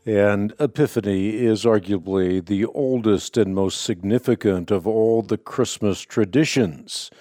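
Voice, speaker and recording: Low, mellow-voiced radio Bible teacher. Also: male voice, dry recording, studio quality. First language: Dutch. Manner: measured, emphatic, preacherly. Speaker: radio host answering callers.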